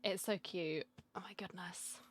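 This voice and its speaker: A woman's voice: on the low side, fairly even intonation, unhurried, over loud music. A woman giving casual, informal commentary as she plays through a game, chatting and reacting aloud. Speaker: game streamer.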